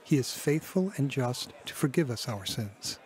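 A reassuring male pastor, played by an actor in a radio drama. His voice is medium-pitched, with faint noise and ambience around it. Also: lively intonation, moderate pace, lightly acted.

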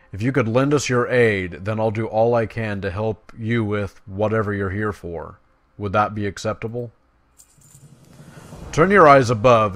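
Guy with lowish voice doing game commentary, reads the quest text out, casual. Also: fairly bright tone. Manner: casual asides between plain, measured read-outs. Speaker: gaming video creator. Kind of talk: gameplay commentary, reading quest text aloud.

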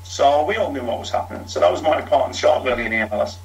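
A man's voice: mid-range voice, animated, very fast, vocal fry, a little hiss or hum. Frank middle-aged Liverpool man. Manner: frank, talks in long runs with fillers. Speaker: former footballer, interviewee. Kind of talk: candid storytelling.

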